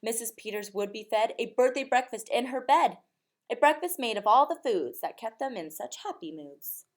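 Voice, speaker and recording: High-voiced woman reading a storybook. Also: lively intonation, moderate pace. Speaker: teacher reading a picture book aloud. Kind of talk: expressive rhyming storybook read-aloud.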